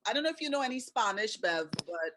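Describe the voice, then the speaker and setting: A woman's voice: a little high, sing-song, fast talker, a bit bright, slight background noise. Chatty Trinidadian woman hosting a webinar. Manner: chatty, laughs often, asks questions. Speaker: webinar host. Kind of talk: presenting a video-call webinar.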